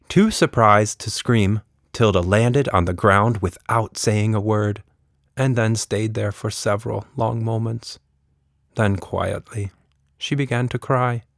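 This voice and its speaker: A man's voice: lowish voice, dry recording, studio quality. A man narrating a scripted children's story expressively, as a podcast storyteller.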